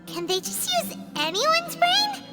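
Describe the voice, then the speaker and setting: Tiny excitable fairy sidekick for a game: naive, squeaky, extremely high and swooping, music underneath. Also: female voice, measured pace, heavily acted. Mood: confused. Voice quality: squeaky, childlike. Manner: speaks of herself in third person, exclamatory. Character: small childlike floating fairy companion, excitable and naive. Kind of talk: video game character dialogue. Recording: music behind the voice.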